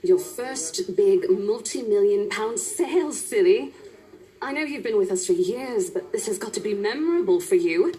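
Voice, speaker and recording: A woman's voice: medium pitch, expressive, moderate pace, mellow, close to an everyday voice. A woman voicing a cheerful young estate agent colleague in a visual novel, playful and teasing.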